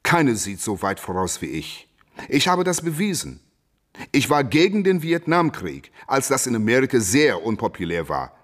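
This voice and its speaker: A man's voice: mid-range voice, very animated, normal speed, a bit bright. A man in a German radio play acting a proud, defiant boxing champion who declaims and argues back with rhetorical questions. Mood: boastful, proud.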